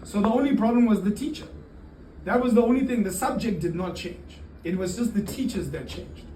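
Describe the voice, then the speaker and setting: Higher-voiced man in a noisy, echoey room. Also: fairly quick, mellow. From Zimbabwe-born, raised in the UK and South Africa. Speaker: teacher, interview guest. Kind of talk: casual interview answers. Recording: roomy, distant mic, clearly noisy.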